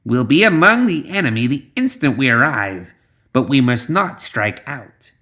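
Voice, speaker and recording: A man voicing a scheming swamp witch in a fantasy audiobook, with a slow, drawn-out, portentous delivery. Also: mid-range voice, big pitch swings, sharp tone, pitched above the performer's own voice. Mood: ominous, deliberate. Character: Zoraida, scheming deliberate swamp witch woman.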